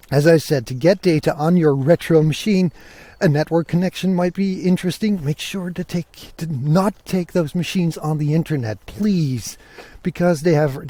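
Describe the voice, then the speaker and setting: A man with a slightly high, mellow voice hosts a podcast in a casual, chatty way. He talks as if thinking aloud, asking himself questions. The sound is dry and close. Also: no room sound. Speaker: podcast host. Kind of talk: casual, conversational tech podcast monologue.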